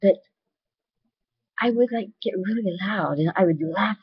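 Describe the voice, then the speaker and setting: A woman with a naturally loud voice talking candidly and earnestly to her webcam in a personal video. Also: mid-range voice, unhurried, slightly rough, no room sound, highs cut off. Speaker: vlogger.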